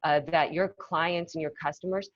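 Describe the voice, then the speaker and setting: Low-voiced woman guest, halting and thoughtful. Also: fairly even intonation, dry recording, highs cut off. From Massachusetts, north of Boston. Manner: thoughtful, peppered with "you know" and restarts. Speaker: guest, marketing executive. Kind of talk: casual conversational interview.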